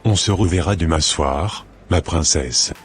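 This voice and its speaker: Man acting very deep-voiced secret lover. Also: measured pace, faint music behind. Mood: secretive. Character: smooth secretive male lover of a married woman.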